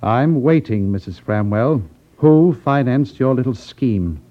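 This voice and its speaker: A man's voice: mid-range voice, expressive, unhurried, lo-fi sound, natural voice. A man acts out a cold-blooded gang boss from a 1950s radio thriller, genteel and condescending. His speech rises and falls with oily courtesy over a dulled, vintage broadcast sound. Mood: polite menace. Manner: genteel, mock-polite, condescending. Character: suave, cold-blooded male crime boss posing as amiable businessman. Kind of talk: 1950s radio drama acting.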